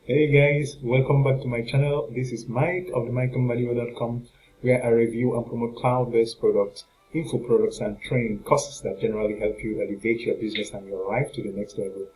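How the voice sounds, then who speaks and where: A man with a mid-pitched voice hosts a promotional review for his viewers. He talks off the cuff in a salesy, repetitive manner, often hesitating as he makes his pitch. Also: little pitch movement, a little fast, darkish tone, poor audio. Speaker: online marketing product reviewer and channel host.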